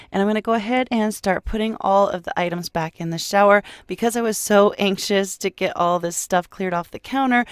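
A woman giving a casual, explanatory voice-over as a home cleaning vlogger. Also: mid-range voice.

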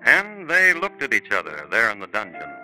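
A man's voice: lowish voice, huge pitch swings, moderate pace, bright, forceful tone, soft background music, low-quality recording. A man reading scripted narration and announcements in a 1950s radio style. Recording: vintage 1950s radio recording.

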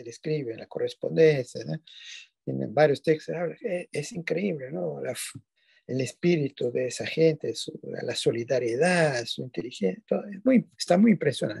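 A man's voice: fairly high pitch, gravelly, darkish tone, husky, no echo, studio quality. An older man whose first language is not Spanish, giving an online lecture from a dim room at home over a webcam, digressing and often self-correcting with tag questions. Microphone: video call webcam.

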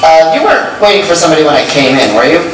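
A man's voice: fairly high pitch, expressive, racing, close to an everyday voice. A young man acting in a live stage comedy as an awkward, eager would-be suitor, talking hesitantly. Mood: persistent, awkward. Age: young adult. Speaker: stage actor. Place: theatre stage. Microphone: far camera. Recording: echoing stage, distant mic, clear noise.

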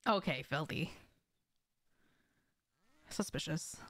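Young woman, lowish pitch, game streamer, casual chat, slangy short phrases, indoor home setup. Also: expressive, takes it slowly, a bit bright, no echo. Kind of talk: casual livestream chat while gaming. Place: small indoor room at home.

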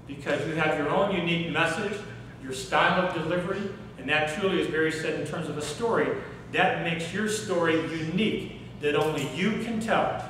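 A middle-aged man giving a workshop talk on public speaking, animated and asking the audience questions in a hotel conference room. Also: fairly high pitch, moderate pace, hardly any pauses, slight background noise. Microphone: far camera, no mic seen. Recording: echoey room recorded from far off.

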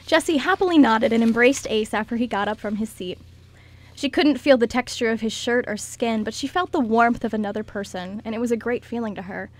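A woman's voice: on the high side, fairly quick. A woman reading scripted story narration as the narrator of an amateur radio drama.

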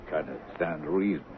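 Low-voiced, evasive soap director. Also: male voice, exaggerated voice. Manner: hesitant, hedging, smooth-talking. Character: evasive, placating male soap opera director. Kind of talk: 1970s radio drama acting. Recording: tinny, hissy vintage radio broadcast.

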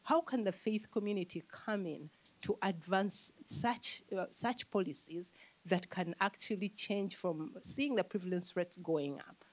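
A woman in her thirties from Uganda, speaking as an economist on a panel into a handheld mic, explaining in a measured way and listing her points. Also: on the low side. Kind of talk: panel discussion answer. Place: conference room with audience.

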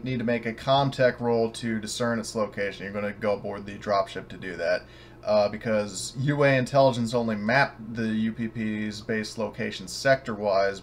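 A man casually explaining a tabletop game scenario as game master, in a halting, rambling way. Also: on the low side, slight background noise. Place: online voice call.

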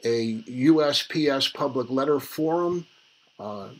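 An older man leaving a rambling, formal phone message in a halting, deliberate way, recorded in a small room. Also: mid-range voice. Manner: halting, spells out numbers deliberately. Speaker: caller leaving a voicemail. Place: small cluttered room indoors.

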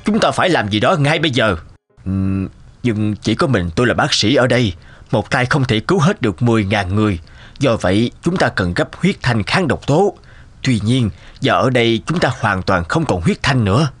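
A man's voice: mid-range voice, big pitch swings, normal speed, breathy edge. A man voicing a grave, worried town official in a dramatic cartoon. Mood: grave, urgent. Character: grave, worried men in a snowbound town emergency. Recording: music behind the voice.

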